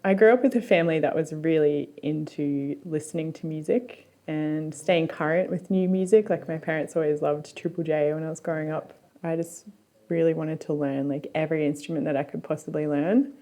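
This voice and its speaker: A woman's voice: sits low, fairly quick, dark tone. A young Australian woman from Queensland talking in a documentary interview in a chatty, playful way, full of "like". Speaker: multi-instrumentalist band member.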